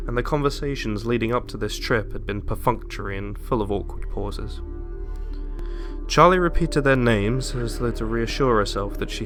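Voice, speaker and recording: A man giving a dramatic, deliberate audiobook narration of a suspenseful horror story. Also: fairly low pitch. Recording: music under the voice.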